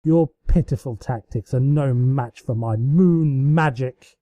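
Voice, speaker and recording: A man's voice: mid-range voice, takes it slowly, dry recording, dull audio, lightly acted. A man voicing a gleefully wicked pony princess who taunts theatrically in a story reading. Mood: wicked glee.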